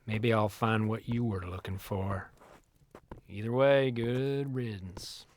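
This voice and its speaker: A grudging wanderer from a fantasy audio drama. His lowish voice moves very slowly, and his manner is curt and dismissive. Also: fairly even intonation, slight background noise.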